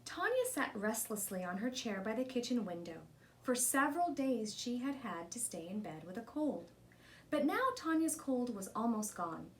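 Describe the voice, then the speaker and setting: A woman narrates a children's story with very lively intonation. Her voice is on the higher side, with faint noise in the recording. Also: normal speed. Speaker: storybook reader. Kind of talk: expressive children's read-aloud narration.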